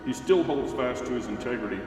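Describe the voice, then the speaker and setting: A man playing solemn, commanding supernatural figures in a church Bible drama, speaking in a deliberate, declamatory way. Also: mid-range voice, expressive, a little mellow, close to an everyday voice. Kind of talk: church class Bible drama, recited scripture. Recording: very echoey, distant, faint music behind.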